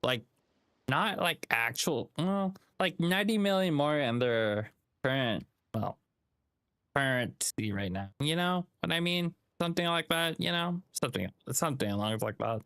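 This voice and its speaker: A man's voice: on the high side, animated, normal speed, a bit bright, smooth, no echo, studio quality. A man streaming a game live, giving casual, rambling commentary as he thinks aloud and chats.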